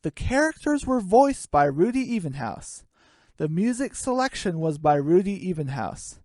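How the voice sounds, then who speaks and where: Radio play narrator, animated pitch movement, dry recording. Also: moderate pace, no echo. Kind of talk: scripted storytelling narration.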